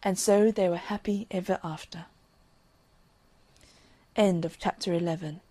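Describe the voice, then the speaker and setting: A woman reading a fairy tale in an expressive, old-fashioned storybook narration. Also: fairly low pitch, a little slow, no echo.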